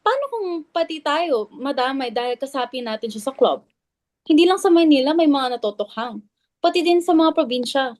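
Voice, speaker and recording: A woman's voice: sits high, lively intonation, normal speed, darkish tone. A woman playing a wary, insistent teacher in a dramatic reading, arguing emphatically with pointed questions. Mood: insistent, alarmed. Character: wary, argumentative female teacher, insistent club member Marife. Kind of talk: dramatic stage reading in Tagalog.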